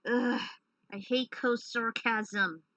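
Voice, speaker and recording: A woman's voice: a little high, fairly even intonation, slow pace, fairly bright tone, no room sound, recorded from a distance, low-quality recording. A woman reading story narration, with casual, grumbling asides. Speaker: narrator reading own fan fiction over game cutscenes.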